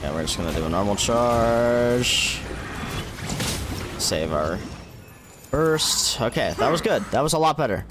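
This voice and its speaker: Male game streamer, casual and foul-mouthed, animated delivery, game music behind him. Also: a little high. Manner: sweary, informal gamer slang. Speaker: livestreamer. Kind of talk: casual live gameplay commentary. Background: video game music.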